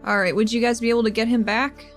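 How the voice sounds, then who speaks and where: Casting: female tabletop player, fairly high voice, informal and chatty, quiet music underneath. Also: fairly even intonation, fast talker. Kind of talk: casual tabletop roleplay chat. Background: faint music.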